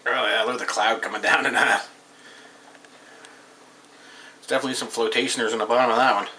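Thirties guy, casual home reviewer, bright-toned, loose and rambling delivery. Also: mid-range voice, steady tone, brisk. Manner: rambling, offhand, reads label aloud. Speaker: beer reviewer. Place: small room at home, indoors.

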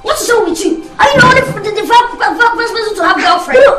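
A performer doing a squeaky cheeky young man. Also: rapid-fire. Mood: quarrelsome. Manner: boastful, bickering, pleading. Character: cheeky young man chasing his brother's girlfriend.

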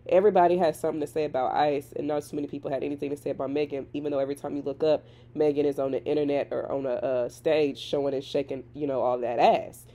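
A woman's voice: deep voice, steady tone, quick pace, dark tone. Congested, opinionated Southern woman vlogger. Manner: chatty, opinionated, Southern slang. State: congested with a cold. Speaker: celebrity gossip commentator. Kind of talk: casual commentary vlog. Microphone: voice-over on still images.

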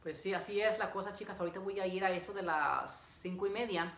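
A man's voice: sits high, fairly quick, a bit bright. A young adult man, a vlogger, chatting casually and repetitively with viewers while he works with his hands in a living room. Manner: chatty, reads out greetings, repeats phrases. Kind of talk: casual livestream chat with viewers while assembling furniture. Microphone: camera a few feet away. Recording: echoey room, noisy, clicks.

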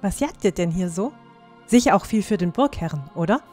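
A woman's voice: fairly low pitch, lively intonation, fairly quick, darkish tone, faint music behind, natural voice. A woman playing a plucky, teasing young dwarf squire in a fantasy audio drama, down-to-earth and matter-of-fact. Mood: friendly.

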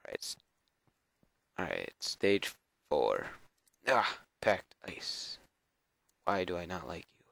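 A young man with a cold and a raspy, scratchy voice, mumbling casual commentary to himself while playing a game. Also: deep voice, very animated, takes it slowly, pauses often, fairly bright tone, no room sound. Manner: mumbling, self-talk with frequent fillers and repeats. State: hoarse with a cold. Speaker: gameplay video creator.

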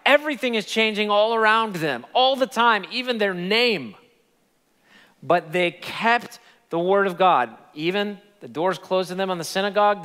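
High-voiced guy pastor preaching on a big church stage, lots of emphasis, long hall echo. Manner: animated, gesturing preacher with rising emphatic peaks. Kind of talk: sermon. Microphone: headset mic.

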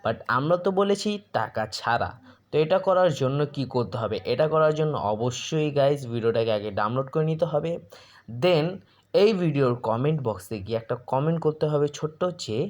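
A man's voice: mid-range voice, lively intonation, normal speed. Man giving an app tutorial. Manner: explanatory, with frequent check-in tags and English words mixed in. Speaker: tutorial host. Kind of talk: informal screen-recorded app tutorial.

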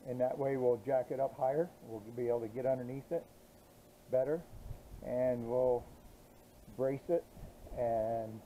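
A middle-aged man casually explaining a welding project outdoors, slow and halting with many "uh" pauses. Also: medium pitch, narrow, tinny audio, a little hiss or hum. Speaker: DIY channel host. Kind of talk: casual how-to narration. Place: outdoors on a grassy yard.

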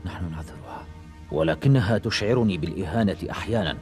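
An actor playing a calm, earnest male warrior in a dubbed animated action series, explaining himself seriously. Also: low-pitched, fast talker, soft background music, close to an everyday voice. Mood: calm, regretful.

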